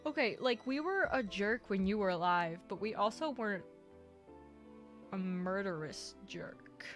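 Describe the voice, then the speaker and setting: A woman's voice: medium pitch, animated, unhurried, over music. Woman host, reads visual novel narration aloud, joking asides, laughs a lot. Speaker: let's play host.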